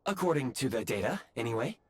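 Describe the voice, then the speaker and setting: A man's voice: mid-range voice, very animated, fast, heavy background noise, natural voice. A man voicing an eager, apologetic robot guide in a video game, with formal, courteous and precise announcer phrasing.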